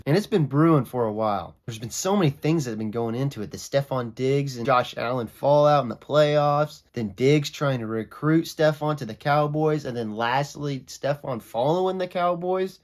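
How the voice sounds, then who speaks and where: A young man with a bit of a cold, so his voice comes out a little raspy. he talks through his football takes in a loose, opinionated way, his pitch jumping up when he gets fired up. it has the feel of a casual solo video chat. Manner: opinionated, conversational, animated pitch swings. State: sick, raspy voice. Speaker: sports vlogger. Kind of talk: casual sports commentary.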